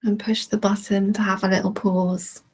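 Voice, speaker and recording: Soft mellow lady doing a guided meditation, really slow n soothing, pretty even tone. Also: mid-range voice, slightly rough. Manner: soothing, gentle, unhurried with long pauses. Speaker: guided relaxation instructor. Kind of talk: guided relaxation, meditation narration.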